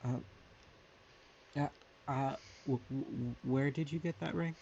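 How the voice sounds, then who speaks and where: A man's voice: medium pitch, fairly even intonation, unhurried, creaky, mellow, highs cut off, slight background noise. A man playing a tabletop game in casual chat, hesitant and stammering.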